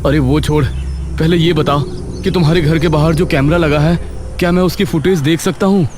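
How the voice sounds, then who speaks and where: A man's voice: fairly high pitch, steady tone, background noise, voice pushed up, natural voice. A man voicing an anxious young man searching for his missing friend, talking urgently and in a rush. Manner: urgent, rushed, nervous. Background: background music.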